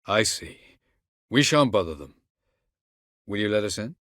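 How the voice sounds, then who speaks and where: Casting: ancient elf sage, video game. Deep voice, very dry close sound, aloof, measured, archaic and condescending. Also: male voice, animated, fairly bright tone, dry recording. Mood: cool, condescending. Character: aloof, haughty ancient elven sage and mage. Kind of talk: video game character acting.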